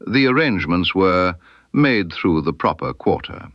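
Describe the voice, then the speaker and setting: A man's voice: low-pitched, sing-song, a little slow, dry recording, dull audio, full character voice. A man voicing an evasive, obsequious waxworks proprietor in an audiobook story, speaking formally and guardedly. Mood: guarded, defensive. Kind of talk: audiobook character dialogue.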